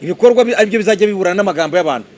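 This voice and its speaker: A man presenting on site, talking in a lively way and quizzing the people around him with questions. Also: high voice, rapid-fire. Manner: animated, quizzing listeners. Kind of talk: lively on-site promo talk.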